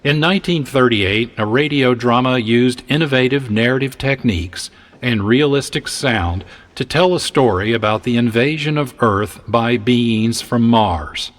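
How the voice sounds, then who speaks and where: A man hosting a radio program, reading a scripted introduction with slow, measured and deliberate phrasing. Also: mid-range voice.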